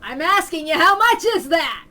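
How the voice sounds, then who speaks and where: A woman voicing a hot-tempered male loan shark who yells crude threats. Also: fairly high pitch, fairly quick, recorded from a distance. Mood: furious.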